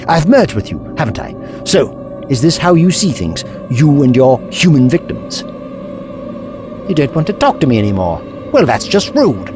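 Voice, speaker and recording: Man playing an animated, wry eccentric Doctor. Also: medium pitch, lightly acted. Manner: wry, theatrical, articulate. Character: eccentric, witty, brooding time-travelling alien Doctor. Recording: music behind.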